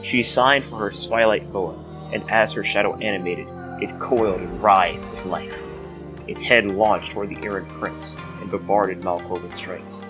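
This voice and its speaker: Low-voiced dramatic male fantasy narrator. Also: fairly even intonation, moderate pace, music in the background. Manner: portentous, theatrical.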